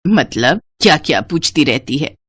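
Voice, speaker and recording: A woman's voice: sits low, lively intonation, normal speed, husky, no room sound, pitched below the performer's own voice. Woman voicing a weary poor village mother. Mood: dismissive.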